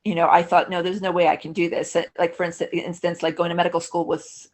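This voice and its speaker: Lower-voiced woman, russian is her first language, chatting on a podcast, keeps restarting her sentences. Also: level tone, fast. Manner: thoughtful, self-correcting, frequent restarts and fillers. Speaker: podcast guest. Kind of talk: casual conversational interview.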